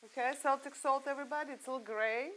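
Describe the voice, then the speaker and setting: A woman's voice: sits high, steady tone, fast talker, fairly bright tone, low-quality recording. Woman demonstrating a recipe. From Russia. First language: Russian. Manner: narrates each step while cooking, laughs easily. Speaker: cooking show guest. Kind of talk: cooking demonstration chat.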